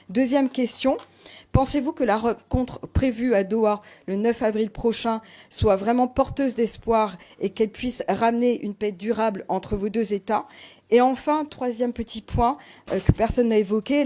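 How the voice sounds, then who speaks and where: Formal woman parliamentarian, measured delivery. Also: mid-range voice, darkish tone. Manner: formal, measured, reading from prepared text. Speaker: member of parliament questioning a witness. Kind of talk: prepared formal questioning at a hearing.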